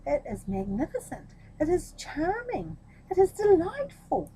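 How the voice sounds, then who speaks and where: A woman voicing gushing, admiring courtiers and townspeople in a storybook, with drawn-out exclamations of praise. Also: extremely high pitch, huge pitch swings, warm tone, slight background noise, voice pushed up, full character voice, low-quality recording.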